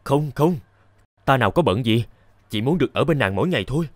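An actor playing a naive, smitten young scholar in an animated fairy tale, earnest and theatrical. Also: male voice, medium pitch, fairly quick, no room sound, studio quality, close to an everyday voice. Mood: lovestruck.

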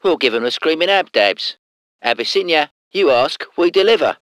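A man's voice: fairly high pitch, animated, normal speed, a bit bright, dry recording. An actor with a British accent voicing a cheeky, salty tank crewman in a war game, in slangy, clipped phrases. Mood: eager, cheeky. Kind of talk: short scripted game unit responses.

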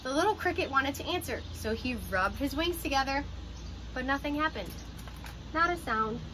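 A young woman with a high voice reads a picture book aloud for small children. Her delivery is expressive and aimed at young listeners. She is recorded outdoors on a porch, with clear ambient noise behind her. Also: normal speed. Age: young adult. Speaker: teacher reading picture books aloud. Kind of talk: children's storybook read-aloud. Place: outdoors on a porch by glass doors.

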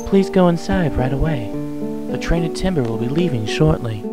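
A man's voice: a little high, expressive, moderate pace, loud music behind the voice, natural voice. A man voicing a formal train station announcer giving instructions in an amateur game fandub. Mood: formal, brisk.

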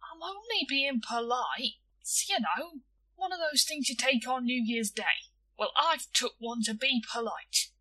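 Woman voicing an earnest, bright-voiced young boy. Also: on the high side, big pitch swings, normal speed, husky, heavily acted, low-quality recording. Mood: earnestly polite. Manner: ungrammatical, stiltedly polite. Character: earnest, blunt, self-important young boy.

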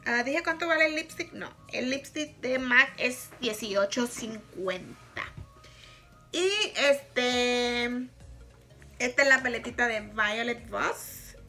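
A woman's voice: fairly high pitch, slow, bright, forceful tone, soft background music. A woman in her thirties from Puerto Rico with a Puerto Rican accent, chatting frankly in a makeup review vlog and laughing often. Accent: Puerto Rican Spanish. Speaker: makeup vlogger. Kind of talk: casual product review. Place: bedroom, indoors, small.

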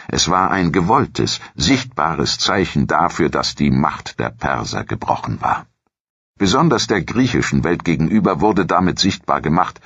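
Very deep, gravelly male history narrator. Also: fairly bright tone, no room sound, highs cut off. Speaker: documentary narrator.

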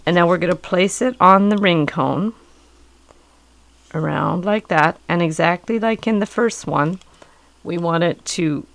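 Middle-aged woman with a cold, low gravelly voice, calmly walking you through a craft, dry close sound. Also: dry recording. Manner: calm, patient, explanatory. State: hoarse from a cold. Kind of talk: step-by-step craft instruction. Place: indoors at a work table.

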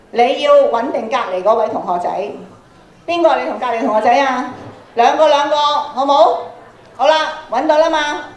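A middle-aged woman with a Hong Kong Cantonese accent and a slightly nasal voice, instructing students interactively and repeating her prompts. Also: high voice. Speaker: radio drama workshop trainer. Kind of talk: interactive workshop talk to students. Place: large school auditorium, indoors. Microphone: handheld microphone over PA, recorded from audience. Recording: echoey auditorium with audience murmur.

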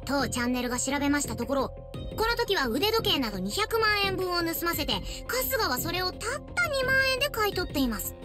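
A woman voicing a cutesy, perky young virtual streamer who narrates scandal exposés in a video game. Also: extremely high pitch.

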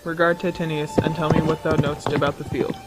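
A man's voice: on the high side, level tone, fast talker, over loud music, close to an everyday voice. A man playing a proud, despairing Roman general in a declamatory radio Shakespeare drama. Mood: grim resolve. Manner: declamatory verse delivery. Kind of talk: old-time radio Shakespeare acting.

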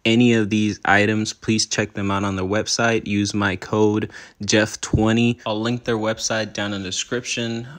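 A man's voice: on the low side, little pitch movement, normal speed, a bit bright. A man in his twenties from Puerto Rico, chatting casually to his viewers as a vlogger. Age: 20s. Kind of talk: casual vlog chat and product review.

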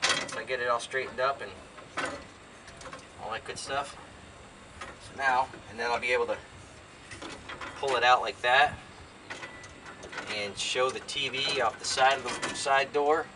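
An older American man talks casually to himself as he works on a DIY job. His voice sits at an average pitch and his delivery is folksy and rambling. The camera mic is some way off in an open van, with strong noise around him. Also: steady tone, unhurried, long pauses. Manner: folksy, rambling, talking to himself while working. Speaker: DIY vlogger. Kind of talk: casual chat while doing a hands-on job. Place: inside open cargo van beside a garage, outdoors. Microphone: camera a few feet away. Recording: distant camera mic, loud noise, clicks.

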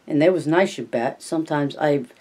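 A reader voicing a big, simple-minded, childlike man in a storybook, earnest and anxious, with naive halting speech and a rural American accent. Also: medium pitch, darkish tone, lightly acted.

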